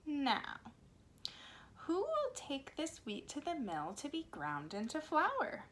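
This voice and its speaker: A woman's voice: high-pitched, wildly swooping pitch, slow pace, fairly bright tone, slight background noise, pitched above the performer's own voice, exaggerated voice. A woman voicing a hardworking, self-reliant little red hen from a children's storybook, calling out expressively. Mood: asking for help.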